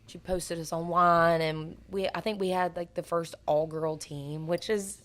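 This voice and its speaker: Raspy-voiced young woman, low alto. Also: fairly even intonation, measured pace, fairly bright tone. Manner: earnest, rambling storytelling. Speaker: guest singer. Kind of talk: casual interview chat.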